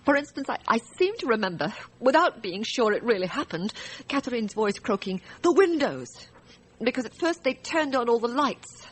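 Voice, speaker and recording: A woman playing a frightened, distressed wife in a radio drama, recounting her story in a halting, hesitant way. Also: fairly high pitch, very animated, slightly rough, poor audio. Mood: weary, bitter. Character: frightened, distressed wife testifying about a night shooting.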